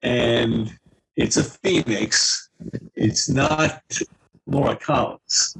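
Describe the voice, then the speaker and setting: A man speaking as a guest author, casually explaining his own fiction in a halting, explanatory way. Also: mid-range voice, vocal fry, fairly bright tone, a little hiss or hum, low-quality recording.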